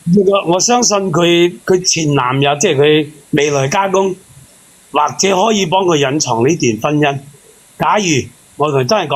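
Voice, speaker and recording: A man's voice: on the high side, rough recording. A man with a Hong Kong Cantonese accent giving earnest, explanatory relationship advice in casual call-in talk. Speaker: panel member giving relationship advice to a caller.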